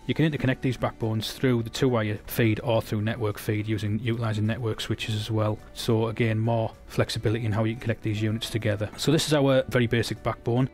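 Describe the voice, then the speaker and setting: An English man giving a technical product demonstration, explaining steadily with frequent restarts. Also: medium pitch.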